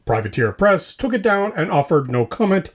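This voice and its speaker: A man's voice: high voice, sing-song, moderate pace. A man hosting a scripted mock news show, delivering it like a theatrical, mock-serious newscaster. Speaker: host of a hobby news show.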